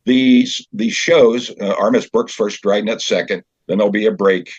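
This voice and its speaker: A man explaining a theater show as its director in an interview, in a conversational, halting way. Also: on the low side, huge pitch swings, vocal fry, husky, dry recording. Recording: dull, lo-fi sound.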